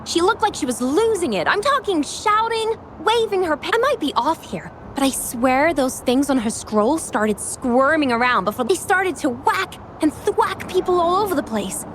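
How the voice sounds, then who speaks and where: Scared young female witness, stammering, lilting high voice, slight hiss behind. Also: animated, fairly bright tone. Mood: rambling. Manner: halting, stammering, breathless. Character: frightened young woman witness, rambling. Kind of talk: video game voice acting.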